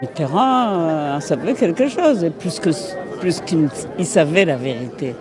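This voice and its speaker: A man's voice: on the high side, lively intonation, unhurried, music in the background. A man speaking emphatically, repeating phrases, in a street interview at a march. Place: outdoors at a city street march.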